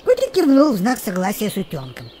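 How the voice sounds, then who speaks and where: A woman narrating a scripted children's story in an expressive, animated way. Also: medium pitch, quick pace. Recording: noticeable background noise with birdsong. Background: birdsong and nature ambience.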